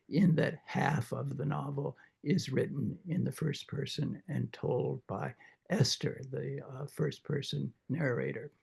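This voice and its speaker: An older man with a croaky voice after throat surgery, lecturing informally to a reading group and pausing often with many uhs and restarts. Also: medium pitch, darkish tone. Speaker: literature professor leading a reading group.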